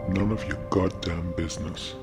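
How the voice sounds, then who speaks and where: A man's voice, pitched down by AI, playing a gruff, hostile, world-weary hired man who speaks in a curt, threatening way and sounds robotic. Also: moderate pace. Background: loud background music.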